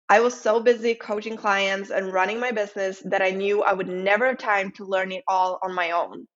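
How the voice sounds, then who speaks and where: A woman's voice: mid-range voice, a bit bright. A woman with a Swedish accent, a non-native English speaker, reading a scripted podcast intro and sponsor message as the host. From Sweden.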